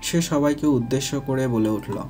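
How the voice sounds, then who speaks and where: A man's voice: medium pitch, normal speed, dark tone. A man narrating a scripted romantic family story as the narrator. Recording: faint music behind.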